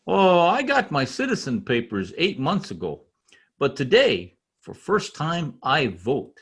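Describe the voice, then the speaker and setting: Radio comedy role: proud new-citizen immigrant, foreign accent, husky, unhurried, hugely animated delivery, simple earnest English. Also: male voice, a little high, full character voice. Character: excitable, proud immigrant tradesman, newly a citizen. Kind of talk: old-time radio comedy acting.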